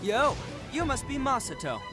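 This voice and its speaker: Lively, brash young oni. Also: male voice, extremely high pitch, normal speed. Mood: casual, eager. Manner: swaggering, informal. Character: brash young male oni, boisterous, cocky, good-hearted. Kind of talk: video game voice acting. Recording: game music behind.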